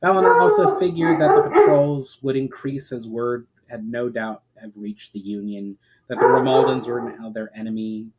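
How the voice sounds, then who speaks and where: A man reading a fantasy story aloud as a narrator, in a measured, expressive storyteller's manner. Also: medium pitch, heavy background noise. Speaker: author reading fiction aloud.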